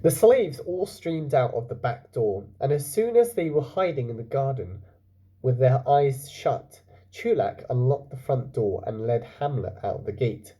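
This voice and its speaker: A male teacher with a very soft, dark tone reads a children's story aloud. His pitch moves in lively sweeps as he narrates, then he steps aside to explain and ask questions. The voice has a husky edge. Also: a little slow.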